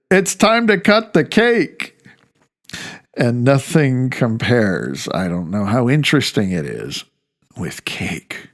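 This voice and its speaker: A man in his fifties narrates a reflective essay as the author of the book. His voice sits at an average pitch with a heavy gravelly fry. He phrases things wryly, leaning on key words for emphasis. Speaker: author reading own audiobook.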